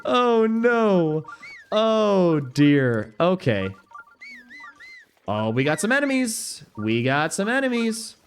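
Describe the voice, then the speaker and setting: Young male streamer, relaxed game commentary, lively pitch, faint music underneath. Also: slow pace, clear, resonant tone. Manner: chatty, reads chat aloud, laughs easily. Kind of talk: casual gameplay commentary.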